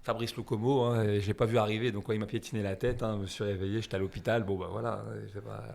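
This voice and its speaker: A man's voice: on the low side, level tone, fast talker, creaky, a little mellow. A man in his thirties from France, chatting casually as an interview guest, rambling and self-deprecating with lots of fillers.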